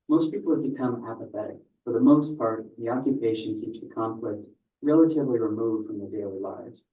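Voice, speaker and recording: A man giving measured, grave scripted narration for a documentary video. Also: mid-range voice. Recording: distant echoey playback, dull sound.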